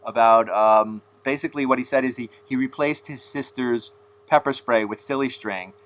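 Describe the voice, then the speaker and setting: A man's voice: medium pitch, moderate pace, a bit bright. A man hosting a casual, rambling interview, telling stories and laughing at his own jokes. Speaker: podcast host.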